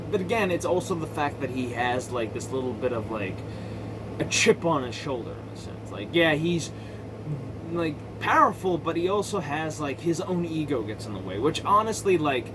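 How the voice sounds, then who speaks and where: A man's voice: on the high side, background noise. A man giving casual, rambling movie commentary in an opinionated, sweary and emphatic way. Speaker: amateur movie reviewer.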